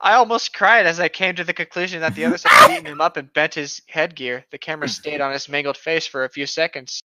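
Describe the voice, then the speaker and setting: A man's voice: a little high, big pitch swings, fast talker, heavy background noise. A man co-hosting, reading a story aloud with casual, sweary commentary and stopping to correct himself. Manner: sweary, self-correcting reader. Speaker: co-host.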